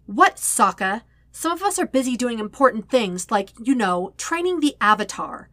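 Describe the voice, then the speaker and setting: A woman's voice: fairly high pitch, big pitch swings, normal speed, fairly bright tone, dry recording, voice pushed up. A woman voicing a stubborn, scornful young woman in a story reading, sarcastic and curt. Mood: irritated.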